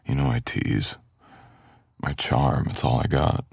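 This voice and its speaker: A man's voice: extremely low pitch, slow, gravelly, a little mellow, slightly rough, no echo, clean studio sound, heavily acted. A man playing a teasing, caring male best friend in an intimate boyfriend ASMR audio roleplay, with playful grumbling.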